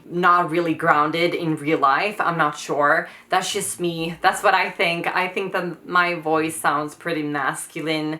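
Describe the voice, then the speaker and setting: A woman in her thirties with a Swedish accent answers viewer questions in a relaxed vlog. Her voice sits low but has a bright, forward edge. She talks hesitantly, restarting and trailing off, with plenty of fillers. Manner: hesitant, trailing off, many likes and ums. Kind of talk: casual Q&A vlog.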